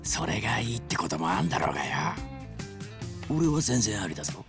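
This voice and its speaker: Rough middle-aged game buddy, deep voice, teasing, music under it. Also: male voice, very animated, a little fast. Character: teasing, scruffy middle-aged male buddy, gruffly affectionate. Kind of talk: Japanese game voice acting.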